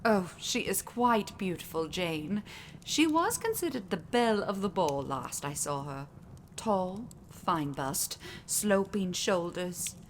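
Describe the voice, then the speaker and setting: A woman's voice: on the low side, expressive, takes it slowly. A kindly, motherly older housekeeper in a period audio drama. Her genteel, chatty voice swoops expressively as she fusses, with faint ambient noise behind.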